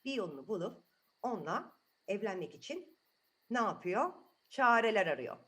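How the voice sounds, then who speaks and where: A woman's voice: mid-range voice, very animated, normal speed, a bit bright. A woman telling a story to children in an improvised, chatty way, asking the listeners questions as she goes.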